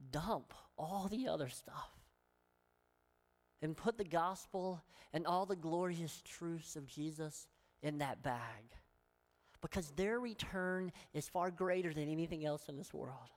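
A woman's voice: low voice, a little slow. A woman pastor with a Southern accent, preaching a sermon in an earnest, emphatic way and asking many rhetorical questions. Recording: long hall reverb.

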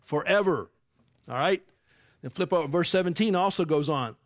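A man's voice: fairly high pitch, moderate pace, dry recording, studio quality. A man preaching a sermon, teaching emphatically and asking the congregation rhetorical questions. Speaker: pastor.

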